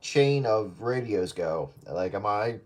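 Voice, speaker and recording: A younger man chatting casually among friends, cheeky and a bit halting. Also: on the low side, lively intonation, background noise. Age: young adult.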